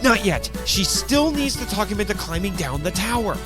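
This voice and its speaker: A man narrating a scripted first-person adventure story in a theatrical, expressive way, acting out his own dialogue as he goes. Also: sits high, moderate pace, over loud music. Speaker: podcast host narrating an audio story.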